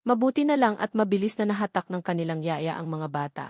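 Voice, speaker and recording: A woman's voice: on the low side, lively intonation, fast talker, darkish tone, no echo, clean studio sound. A woman with a Filipino accent narrating a scripted dramatic romance story.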